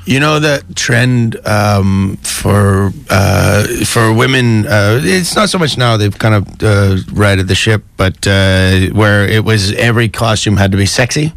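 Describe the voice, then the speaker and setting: A man from Canada telling anecdotes as a radio studio guest, halting and full of ums and uhs. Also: fairly low pitch, vocal fry, fairly bright tone, no echo.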